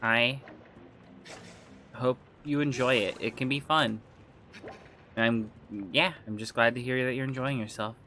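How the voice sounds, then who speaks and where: Bright-voiced rambling male game streamer. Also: mid-range voice, fairly even intonation, unhurried, pauses often, a little hiss or hum. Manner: rambling, trailing off and restarting, chatty with viewers. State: slightly phlegmy throat. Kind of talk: casual livestream chat while gaming.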